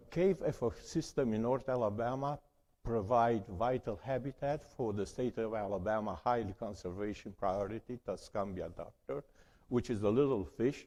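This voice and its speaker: A man's voice: lowish voice, warm tone. A man from Romania with a Romanian accent, giving a conference presentation in careful, slightly broken English. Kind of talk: academic conference talk.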